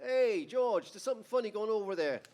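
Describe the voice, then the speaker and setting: A man's voice: sits high, animated, brisk, a little mellow. A man playing a folksy, inquisitive small-town taxicab driver, in old-time radio drama style. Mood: curious, friendly.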